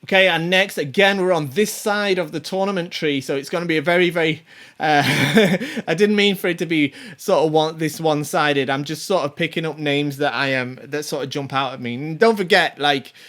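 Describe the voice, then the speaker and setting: A man's voice: fairly high pitch, moderate pace, fairly bright tone. Rambling, chatty man in his forties. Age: 40s. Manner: rambling, many ums and restarts; chatty, chuckles to himself. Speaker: show host. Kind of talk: casual commentary on tournament players.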